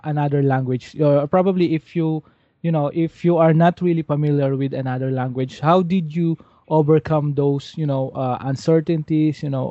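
A male podcast host chats in a loose, rambling way. His voice is fairly high and very mellow, recorded close and dry. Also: no room sound, highs cut off. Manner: rambling, repeats "you know". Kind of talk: casual interview chat.

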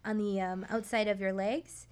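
A woman's voice: medium pitch, fairly even intonation, normal speed, darkish tone, clean tone. A woman speaking as a guest massage therapist in a casual talk show interview, explaining anatomy in plain, informal words.

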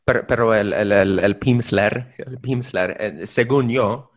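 A man's voice: on the low side, unhurried. A man co-hosting a casual language-learning podcast, playful, mixing slang and restarting phrases. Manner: playful, uses Spain and Mexico slang, frequent restarts. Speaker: podcast co-host. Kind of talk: casual chat.

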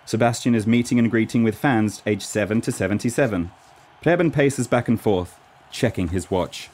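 A man's voice: on the low side, moderate pace, darkish tone. A man from Norway giving scripted narration for a radio play. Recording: clear background noise.

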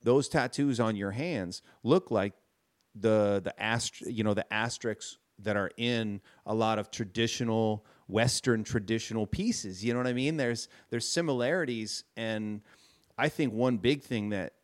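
Male host, low-ish voice, relaxed tattoo-shop interviewer. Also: measured pace. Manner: laid-back, calls people "man". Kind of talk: casual interview chat.

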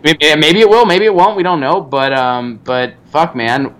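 A man appearing as a guest comedian on a podcast, talking in an animated, enthusiastic way with casual swearing. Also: fairly high pitch, normal speed. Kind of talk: casual interview chat.

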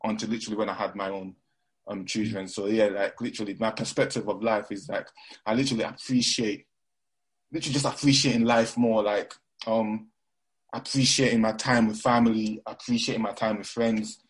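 A man's voice: fairly low pitch, steady tone, brisk, breathy edge, poor audio. A young man from Nigeria with a mixed London and Nigerian accent, chatting casually as an interview guest, rambling with lots of fillers and restarts.

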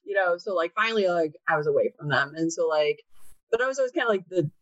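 A woman's voice: fairly low pitch, animated, quick pace, no echo. A woman in her late thirties talking casually as an interview guest, chatty and full of "like" fillers. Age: 30s. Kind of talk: casual conversation. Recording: dull lo-fi sound, dry.

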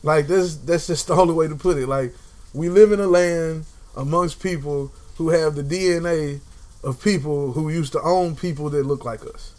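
A man's voice: a little high, moderate pace, a little mellow. A young man in casual conversation, talking emphatically and repeating phrases to drive a point home. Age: young adult.

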